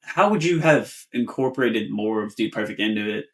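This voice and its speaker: A man's voice: fairly low pitch, animated, brisk, fairly bright tone. A young American man co-hosting a podcast, chatting casually and chiming in agreeably with supportive comments. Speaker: podcast co-host. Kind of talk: casual chat.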